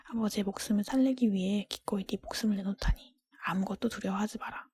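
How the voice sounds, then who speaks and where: A woman voicing a benevolent noble lady from a fairy-tale dream, reassuring and gracious in a breathy whisper. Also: mid-range voice, steady tone, fast talker, a little mellow, no echo.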